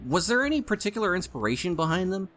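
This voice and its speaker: A man's voice: high-pitched, big pitch swings, fast, fairly bright tone, faint music behind. A man voicing a gushing, nerdy, chubby pony stallion fan in an audio play, talkative and opinionated. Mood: enthusiastic. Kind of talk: audio play character acting.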